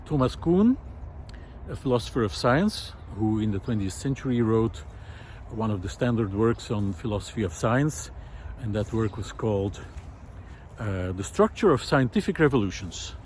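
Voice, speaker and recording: A man's voice: lowish voice, lively intonation, a little mellow, a little hiss or hum. Reflective man musing outdoors. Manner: reflective, pausing often with "uh". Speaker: video essayist. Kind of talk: musing walk-and-talk monologue. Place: outdoor park lawn. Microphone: handheld camera while walking.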